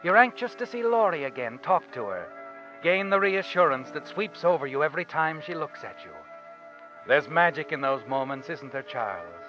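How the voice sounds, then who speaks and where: An ominous old-time radio narrator who taunts the guilty. His voice is somewhat high and full of suspense. Music plays clearly beneath the muffled broadcast. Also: very animated, moderate pace. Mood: sly, ominous.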